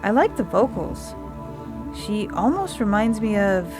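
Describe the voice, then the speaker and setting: A woman's voice: mid-range voice, sing-song, a little slow, over music, voice pushed up. A woman voicing a sad, sour young girl in an audio fiction story. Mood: tentative, hopeful.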